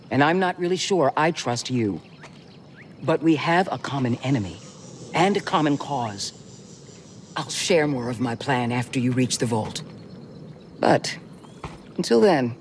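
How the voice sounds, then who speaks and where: Guarded middle-aged woman mentor in a sci-fi game, measured n earnest, faint background noise. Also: extremely low pitch, sing-song, heavily acted. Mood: grave, resolute. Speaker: mentor, former Jedi. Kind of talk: game cutscene acting.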